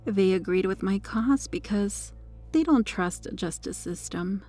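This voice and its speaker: A woman's voice: mid-range voice, a little slow, mellow, faint music behind, natural voice. A woman playing a sweetly menacing, possessive superheroine kidnapper in an intimate ASMR roleplay, coy, smug and coaxing as she lingers over her words.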